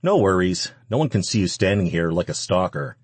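A man voicing a composed winged male mentor, calm and instructive, in a story reading. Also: sits low, fairly quick, husky, dry recording, full character voice. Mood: casual, unruffled.

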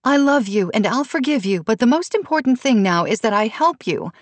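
A woman's voice: high voice, sing-song, measured pace, no echo, voice pushed up, exaggerated voice. A woman narrator voicing a worried, exasperated, pleading pregnant mother in an audiobook. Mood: confronting, worried.

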